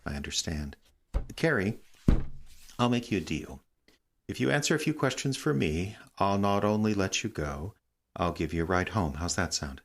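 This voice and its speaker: Very low-voiced, probing female interrogator. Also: animated. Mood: calm, coaxing. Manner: measured, probing, insistent. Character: controlled, persistent interrogator probing a witness. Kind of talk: naturalistic audio drama acting. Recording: clear background noise.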